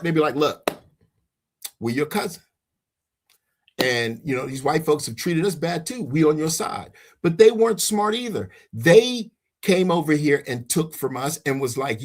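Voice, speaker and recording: A man's voice: a little high, expressive, a little slow. A man preaching informally and giving personal testimony as he leads a religious discussion, emphatic and repeating phrases for weight. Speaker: host leading a religious discussion.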